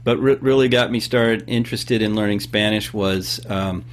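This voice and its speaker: A man in his fifties from California, explaining things at length in a relaxed interview, with many pauses and fillers. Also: lowish voice, a little hiss or hum. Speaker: podcast guest and host of his own show. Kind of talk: casual interview talk.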